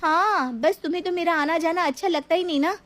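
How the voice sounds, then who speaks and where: A woman's voice: extremely high pitch, brisk, a bit bright. A woman voicing a headstrong, demanding young married woman in a dramatized story, with a whiny, insistent delivery. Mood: stubborn, sulky. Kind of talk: dramatized moral story voice acting.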